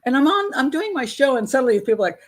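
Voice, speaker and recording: A woman's voice: high-pitched, very animated, very fast. A woman in her sixties hosting a casual live chat with her patrons, talking in a candid, chatty way. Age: 60s. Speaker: host of a crime-profiling channel.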